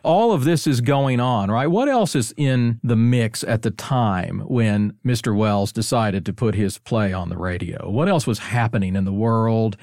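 Chatty low-voiced man hosting, dry sound. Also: normal speed, hardly any pauses, a little mellow, no echo. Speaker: interview host. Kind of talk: conversational interview hosting.

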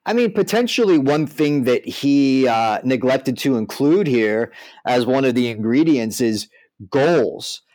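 A man's voice: mid-range voice, animated, a little slow, darkish tone, no room sound. A man co-hosting a casual discussion, explaining his opinions step by step and checking in as he goes. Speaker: podcast co-host and band manager. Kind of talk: casual music industry discussion.

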